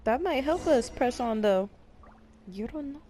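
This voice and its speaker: Playful higher-voiced woman streamer. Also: lively intonation, unhurried, noisy background. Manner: playful, slangy. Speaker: gameplay streamer. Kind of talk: casual live gameplay commentary.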